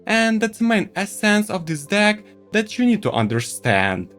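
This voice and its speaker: Lively man reviewing gear over faint music. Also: high voice, a little slow. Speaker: product reviewer. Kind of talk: semi-scripted video review narration.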